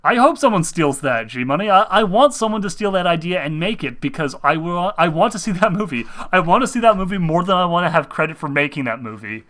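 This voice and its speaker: Bright, excitable young man rambling. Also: sits high, brisk, few pauses. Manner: excitable, rambling, restarts often. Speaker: host. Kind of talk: casual rambling chat.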